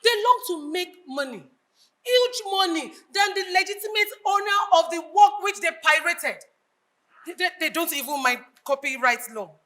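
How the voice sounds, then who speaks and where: High, bright-voiced indignant Nigerian woman. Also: lively intonation, normal speed. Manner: emphatic, declamatory. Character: indignant, earnest Nigerian woman lamenting her country's ills. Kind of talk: projected stage play acting.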